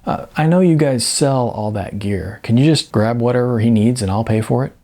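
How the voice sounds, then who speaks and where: A man's voice: mid-range voice, normal speed, warm tone, natural voice. A man voicing an earnest young film star in an audiobook, courteous, casual and a little hesitant. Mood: polite, hesitant. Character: earnest, polite young male film star, eager amateur.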